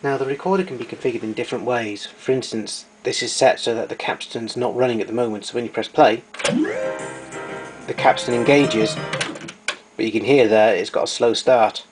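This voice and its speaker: A man's voice: mid-range voice, steady tone, moderate pace, music in the background. A man giving an informal, hands-on equipment demonstration, explaining technical details as he goes.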